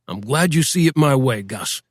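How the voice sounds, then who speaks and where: A man's voice: mid-range voice, expressive, moderate pace, no room sound, studio quality, lightly acted. A man voicing an anxious small-time gangster who rambles on the phone. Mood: worried. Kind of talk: audiobook character voice.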